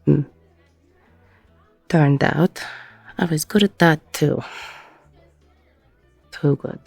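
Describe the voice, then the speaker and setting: A woman playing a weary, protective middle-aged ex-con bar owner in an intimate audio roleplay, with a husky, gravelly voice. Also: low voice, very slow, a little mellow, dry recording, soft background music, exaggerated voice. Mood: regretful, confiding.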